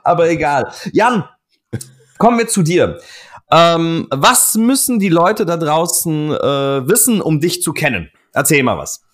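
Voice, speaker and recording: A man's voice: on the high side, measured pace. A man hosting a fitness industry podcast in a loose, chatty way, cracking jokes and using lots of fillers. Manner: chatty, joking, lively, frequent fillers. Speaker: podcast host. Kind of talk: casual interview hosting.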